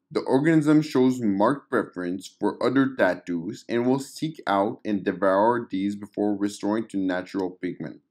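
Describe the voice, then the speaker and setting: A man narrating a scripted clinical report in a measured, formal read-aloud manner. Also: medium pitch, dark tone. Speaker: narrator of fictional audio logs.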